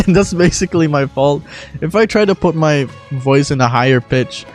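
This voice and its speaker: Casual, self-deprecating video creator with lively pitch. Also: moderate pace, soft background music. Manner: profane, self-critical. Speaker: video creator and amateur voice actor. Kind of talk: casual self-deprecating commentary between acted lines.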